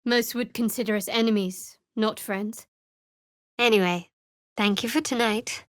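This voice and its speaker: A woman playing a guarded, sardonic young woman cleric of a dark goddess in a video game, with a dry manner. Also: on the high side, steady tone, a little slow, no room sound, lightly acted. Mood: soft, confiding. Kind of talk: video game character voice acting.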